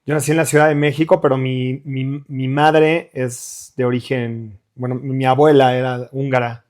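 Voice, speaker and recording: A man's voice: medium pitch, little pitch movement, normal speed, a little mellow. A middle-aged man from Mexico City with a Mexican accent, a guest musician chatting casually in an interview and rambling through restarts and fillers. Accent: Mexican Spanish.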